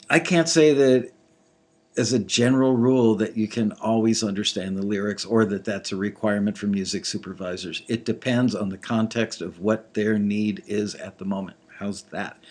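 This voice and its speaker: Casual man with a slightly gravelly voice. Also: lowish voice. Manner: casual, thinking aloud with many fillers. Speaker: music industry reviewer critiquing listener songs. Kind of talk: live teaching commentary.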